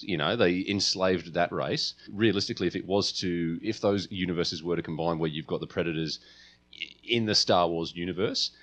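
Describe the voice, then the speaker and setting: Male podcast co-host with a low voice, informal and opinionated. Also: fairly bright tone. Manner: colloquial, opinionated. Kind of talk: casual chat.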